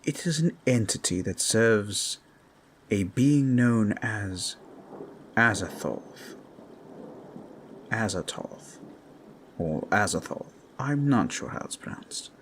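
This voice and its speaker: Man as a measured, scholarly fantasy adventurer. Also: on the low side, expressive, slight background noise. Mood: grave, explanatory. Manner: formal, deliberate, explanatory. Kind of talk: roleplay audio drama.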